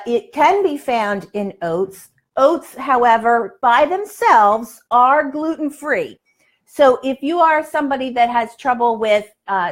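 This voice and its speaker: A woman in her sixties hosting a casual livestream from her kitchen, chatty and folksy, given to exclaiming. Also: fairly high pitch. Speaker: live cooking show host. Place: home kitchen, indoors. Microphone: lapel mic.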